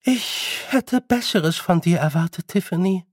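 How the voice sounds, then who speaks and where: A man's voice: high voice, lively intonation, a little slow, husky, no echo, studio quality, voice pushed up, heavily acted. A man voicing a haughty fairy queen in a storybook, condescending and sweetly menacing. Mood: cold, commanding. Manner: condescending, silkily threatening.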